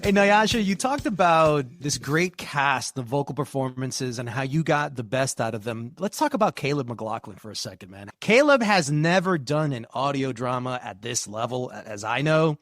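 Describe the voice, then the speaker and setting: A man hosting a podcast who asks his guest friendly questions in quick bursts. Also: medium pitch, animated, fairly bright tone, no room sound, studio quality. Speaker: podcast host. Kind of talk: podcast interview.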